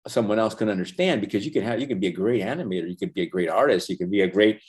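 An older man whose first language is Spanish, talking earnestly in a casual interview, halting with many restarts and fillers. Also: fairly low pitch, expressive, darkish tone. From Los Angeles. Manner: earnest, reflective, faith-centered. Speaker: animation professor and former feature animator, guest.

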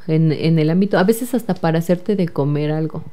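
A woman's voice: sits low, fairly quick, mellow. A young woman with a Mexican accent answering interview questions in a chatty way, full of fillers and repeated words. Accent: Mexican Spanish. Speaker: guest, recent engineering graduate.

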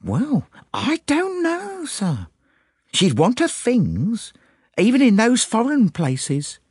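Excitable high-pitched young maid. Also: male voice, slow, slightly rough, no room sound, clean studio sound, exaggerated voice. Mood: earnest, wistful. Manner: breathless, chatty, colloquial. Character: excitable, gossipy young working-class English maid. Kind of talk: audiobook character voice.

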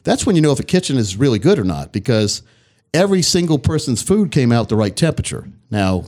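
A middle-aged man hosting a financial radio show, talking in an energetic, folksy, sales-pitch way. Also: fast. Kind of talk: casual radio talk.

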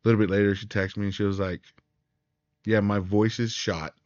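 Podcast co-host, man, low voice, leads the chat; dull and dry recording. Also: level tone, a little fast, a little mellow, dry recording. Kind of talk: casual chat.

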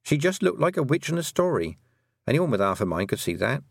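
A man's voice: medium pitch, sing-song, brisk, gravelly, breathy edge, no room sound, clean studio sound, pitched above the performer's own voice, full character voice. A man voicing an earnest, stubborn and anxious young girl who hesitates, then blurts things out, in an audiobook. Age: child. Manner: hesitant openings, then breathless rush of detail. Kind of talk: audiobook character dialogue.

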